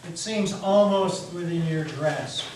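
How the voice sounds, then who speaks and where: A man voicing the devil as a grim, sly stranger making slow, ominous pronouncements in a stage reading. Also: fairly high pitch, a little mellow, voice pushed up. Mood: coolly foretelling. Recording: echoey hall, distant mic, faint noise.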